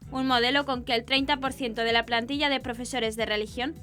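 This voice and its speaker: Woman newsreader with a high voice. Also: fairly even intonation, fairly quick, pushed and bright, faint music behind. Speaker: news presenter. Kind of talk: scripted news narration.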